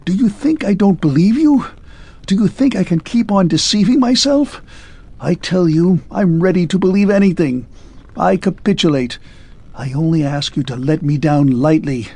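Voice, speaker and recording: A man's voice: high-pitched, expressive, measured pace, hardly any pauses, a little mellow, breathy edge, pitched above the performer's own voice, full character voice. A man doing a frightened pleading doctor. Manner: pleading and shaky. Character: frightened middle-aged male doctor, pleading.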